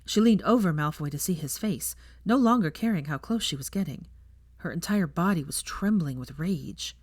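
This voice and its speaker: A woman narrates fiction in a slightly low voice. Her delivery has lively, varied pitch, and the sound is dry and close. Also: moderate pace, no echo. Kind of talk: scripted story narration.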